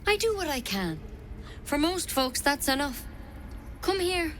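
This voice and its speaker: An actress playing a practical woman druid healer in a video game, with a brisk, plain-spoken bedside manner. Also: high-pitched, sing-song, slight background noise. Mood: probing.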